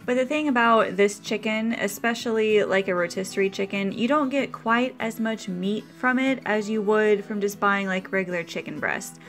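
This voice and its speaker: A woman's voice: medium pitch, moderate pace, few pauses, fairly bright tone, soft background music. A woman hosting a cooking video, explaining her meal prep step by step in a chatty, practical voice-over.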